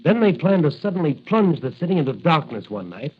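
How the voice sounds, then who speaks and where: An actor playing an earnest, resolute young cop who fights crime in a mask, in deliberate, declamatory old radio style. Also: male voice, fairly high pitch, very animated, slightly rough. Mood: grave, resolute. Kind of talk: 1940s radio adventure acting. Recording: muffled vintage radio recording.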